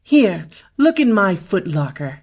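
Woman playing a slow, haughty, menacing dark apprentice girl. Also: medium pitch, big pitch swings, full character voice. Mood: haughty, scornful. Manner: imperious, scornful. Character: haughty, menacing, gloomy teenage female Sith apprentice. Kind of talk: science-fiction audio drama acting.

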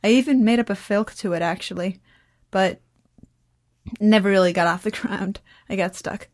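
A woman's voice: mid-range voice, moderate pace, darkish tone. A teenage girl chatting casually, rambling and interrupting herself with dry humor. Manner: rambling, self-interrupting, dryly joking. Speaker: young podcast creator and guest. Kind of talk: casual chat.

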